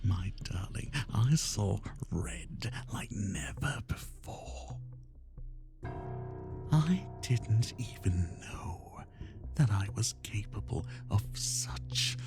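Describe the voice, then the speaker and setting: Man playing a slow, gravelly yandere kidnapper. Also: lowish voice, animated, music in the background, heavily acted. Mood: hurt, seething. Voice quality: gravelly, often breathy whisper. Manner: slow, confiding, anguished and menacing. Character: obsessive, lovesick male yandere kidnapper, tender yet menacing. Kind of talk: roleplay ASMR monologue, intimate and dramatic.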